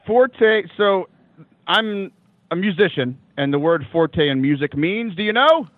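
A young man with a loud voice, talking casually as an interview guest, animated, with many fillers and restarts, laughing often. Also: sits high, unhurried, no room sound. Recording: dry, phone-like call line.

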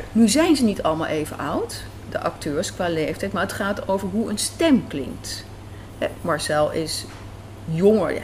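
A woman's voice: mid-range voice, darkish tone. A woman from the Netherlands answering interview questions in a conversational, emphatic way. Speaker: guest, radio drama writer and director. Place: café, indoors. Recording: faint café background noise. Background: faint café ambience.

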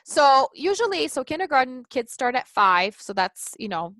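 A woman's voice: sits high, big pitch swings, moderate pace, fairly bright tone, no room sound. Portuguese-native woman guest, dry close sound. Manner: earnest, thinks aloud with restarts and "you know". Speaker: life coach guest. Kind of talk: casual interview chat.

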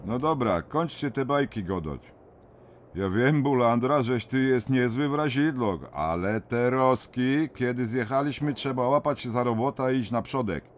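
A man voices a grumbling old miner with a broad Silesian accent, his mid-pitched voice swinging widely as he scoffs. The radio play has a faint mine hum behind it. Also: animated, a little slow, natural voice. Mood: impatient. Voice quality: blunt, grumbling dialect speech. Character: gruff skeptical older male miner Ecik. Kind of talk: radio drama acting.

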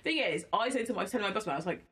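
A woman's voice: mid-range voice, animated, racing, fairly bright tone, slightly rough. A woman in casual podcast conversation, insistent when she makes her point. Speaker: podcast host. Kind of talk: casual chat and debate.

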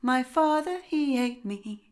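A woman voicing an eerie singing bird, the spirit of a murdered boy, in a slow sing-song chant. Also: high voice, lively intonation, darkish tone, voice pushed up. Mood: haunting chant.

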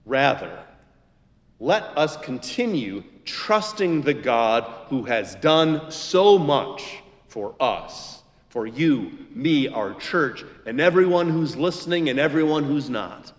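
A man preaches in a muffled recording with long hall reverberation. He takes his time, phrasing his prepared sermon emphatically and with very animated intonation. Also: mid-range voice, takes it slowly. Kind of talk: scripted expository sermon with quotations.

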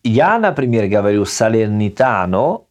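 Italian man in halting, hesitant speech. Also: fairly low pitch, very animated, a little mellow. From southern Italy. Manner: hesitant, halting non-native phrasing. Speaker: guest. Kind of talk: casual conversation.

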